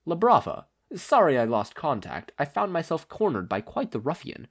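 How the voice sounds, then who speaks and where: A man voicing a courteous, theatrical gentleman hero from a story, with florid old-fashioned phrasing. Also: on the high side, wildly swooping pitch, normal speed, pitched above the performer's own voice, exaggerated voice. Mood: pleased, businesslike.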